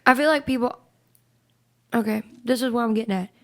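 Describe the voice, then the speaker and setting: A raspy-voiced woman in her twenties with a Southern country accent, talking earnestly as a guest singer in a casual interview. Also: fairly high pitch, brisk, a bit bright. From North Carolina, US. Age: 20s. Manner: earnest, repeats words when starting.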